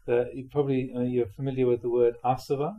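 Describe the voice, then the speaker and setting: A man reading Buddhist teachings aloud like a monk giving a dharma talk, with measured, emphatic phrasing. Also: medium pitch, flat delivery, phone-quality sound.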